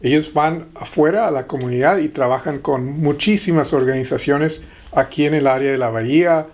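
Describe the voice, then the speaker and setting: A middle-aged man from the Texas-Mexico border, a guest in an interview, speaking with hesitant pauses and fillers. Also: fairly high pitch, a little mellow. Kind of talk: interview conversation.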